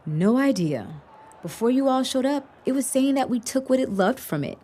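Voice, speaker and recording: Audio drama casting: woman as a loyal, excitable high school girl, high voice with big swings. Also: moderate pace. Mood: calm, reassuring.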